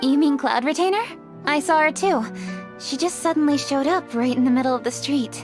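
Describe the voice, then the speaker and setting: A woman voices a principled young official in a game, crisp and upright in manner. Her voice sits high, with faint game music beneath. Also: a little fast, close to an everyday voice. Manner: crisp, upright, slightly prim. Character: brisk, proper young woman official, principled and a bit flustered.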